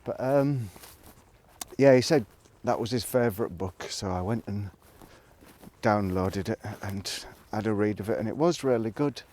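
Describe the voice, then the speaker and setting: A man talking casually to a vlog audience in a reflective, rambling monologue, musing haltingly and trailing off between thoughts. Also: on the low side, darkish tone. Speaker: vlogger. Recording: noticeable background noise, clicks.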